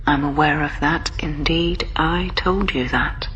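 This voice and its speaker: An actress in a radio drama playing a prim, ageing woman who watches over a quarantined prisoner, speaking in a formal, measured and solemn way. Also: low-pitched, fairly even intonation, slight background noise. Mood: prim reproof. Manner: formal, measured, solemn, breathy hushed moments.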